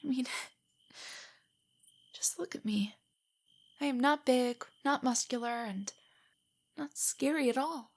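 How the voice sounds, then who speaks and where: A woman playing a nervous, nerdy young girlfriend in an intimate roleplay audio drama, soft and whispery, with hesitant, stammering speech. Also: high-pitched, takes it slowly, pauses often, heavily acted. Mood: insecure, subdued. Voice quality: soft, breathy, often whispery. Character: shy, nervous, nerdy young girlfriend, self-doubting and affectionate.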